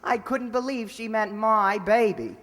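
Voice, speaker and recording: A man's voice: high voice, unhurried, a bit bright. An actor playing a cocky, folksy young man with slangy talk in an old-time radio comedy. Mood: jovial, proud. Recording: reverberant hall, stage radio play.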